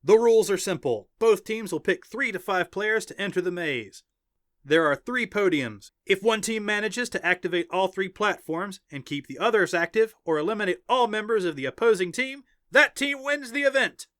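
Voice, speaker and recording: Man putting on an excitable, high-pitched arena announcer. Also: no echo, clean studio sound. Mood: hyped up. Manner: bombastic, drawn-out emphasis. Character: excited male sports arena announcer, bombastic showman. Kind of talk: arena sports commentary in an audiobook.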